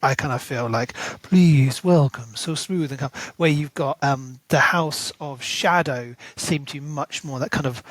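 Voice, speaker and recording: A man's voice: medium pitch, a little slow, hardly any pauses, dry recording. A man co-hosting a book podcast, chatting casually and enthusiastically, with plenty of ums and restarts. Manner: chatty, filler-heavy, enthusiastic. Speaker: podcast co-host.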